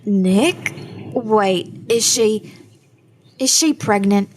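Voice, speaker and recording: A woman playing a level-headed, guarded young adult daughter in an audio drama, measured and dry in manner. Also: mid-range voice, darkish tone, slight background noise.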